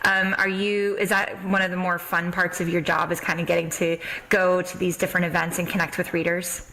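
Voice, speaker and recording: A woman hosting a podcast in a casual, effusive interview chat, quick to exclaim. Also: fairly low pitch, little pitch movement, sharp tone, low-quality recording. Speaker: podcast host.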